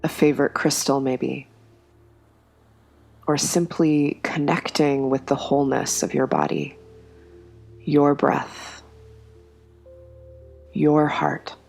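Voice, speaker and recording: Slow, low, gravelly meditation guide woman. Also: lively intonation, faint music behind. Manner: slow, soft, soothing delivery with long pauses. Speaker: yoga nidra guide.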